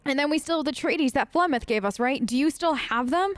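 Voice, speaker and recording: A woman's voice: sits high, brisk, no echo. A woman gamer improvising her character's dialogue in a casual, friendly and slightly hesitant way. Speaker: gamer role-playing her character in a let's play.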